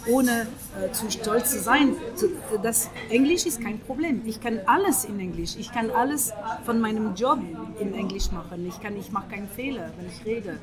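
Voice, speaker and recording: A middle-aged woman from France speaking German with a French accent, often searching for words in a casual breakfast conversation, with many pauses and fillers. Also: a little high, a crowd in the background, low-quality recording. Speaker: guest. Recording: breakfast table with a group chatting in the background.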